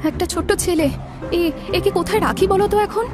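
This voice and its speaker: A woman acting a frightened, excitable young woman in an audio drama, speaking with urgent alarm. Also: very high-pitched, fairly quick. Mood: flustered. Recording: clear music under the voice.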